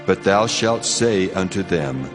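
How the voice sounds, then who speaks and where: Deep, solemn wrathful God. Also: male voice, expressive, slow, over music. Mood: grieved, stern. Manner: commanding, solemn, declamatory. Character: God, solemn, stern, wrathful male deity rebuking his people. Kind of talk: dramatized scripture reading, King James English.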